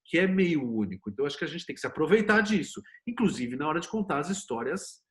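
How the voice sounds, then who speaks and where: A man's voice: on the high side, big pitch swings, fast, fairly bright tone. A man with a Brazilian Portuguese accent talking casually and emphatically as a guest in an interview, in informal language. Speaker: interview guest, TV producer and screenwriter.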